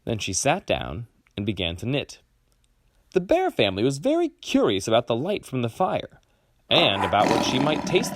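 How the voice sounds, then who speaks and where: A man reading a children's picture book aloud expressively, with dramatic pauses. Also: fairly low pitch, fairly bright tone, dry recording, studio quality. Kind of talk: expressive storybook read-aloud.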